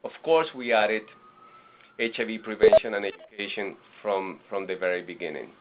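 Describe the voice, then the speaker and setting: A man from Venezuela, a nonprofit director, presenting a webinar with halting restarts and fillers. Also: fairly low pitch, very noisy. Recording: lo-fi webinar audio.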